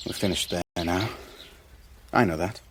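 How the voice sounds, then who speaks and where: An actor in a radio drama playing a cornered Levantine businessman, formal and measured, defending himself with wounded dignity. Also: low-pitched, very noisy, natural voice. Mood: bitter resignation.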